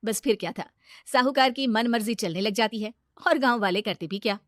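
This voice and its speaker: A woman tells a scripted moral tale as its narrator. Her voice sits fairly high and the sound is dry and close. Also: a little fast, fairly bright tone, dry recording. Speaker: story narrator.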